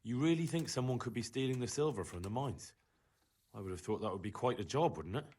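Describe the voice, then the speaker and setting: A man's voice: mid-range voice, lively intonation, a little fast, darkish tone, breathy edge. A man playing an earnest, deferential young squire in a medieval video game, politely asking questions. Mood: helpful, inquiring. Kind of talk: scripted video game dialogue.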